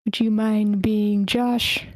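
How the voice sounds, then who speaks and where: A woman's voice: medium pitch, little pitch movement, slow pace, dry recording. A woman hosting an online table read, casually organizing and reading screenplay stage directions aloud. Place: online meeting.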